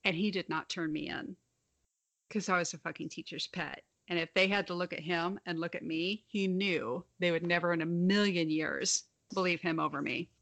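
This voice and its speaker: A woman's voice: on the low side, fairly even intonation, moderate pace, fairly bright tone. A young adult woman with a slight lisp, telling stories frankly in a casual podcast chat and swearing freely. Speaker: podcast co-host.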